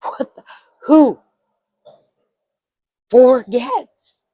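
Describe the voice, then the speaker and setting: A woman's voice: high voice, lively intonation, drawn-out words, husky, no room sound, highs cut off. A woman in her forties chatting casually to her vlog audience at home, self-mocking and swearing freely. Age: 40s. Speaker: vlogger.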